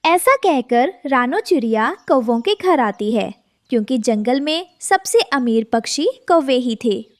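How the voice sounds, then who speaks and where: A woman's voice: sits high, animated, measured pace, no room sound. A woman narrating a scripted children's story as the storyteller.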